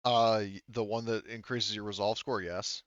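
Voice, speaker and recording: A man with a low, clean, resonant voice runs a tabletop roleplaying session. He explains things in a casual way. The sound is dry, close and slightly muffled. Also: fairly even intonation, moderate pace, dry recording. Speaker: game master.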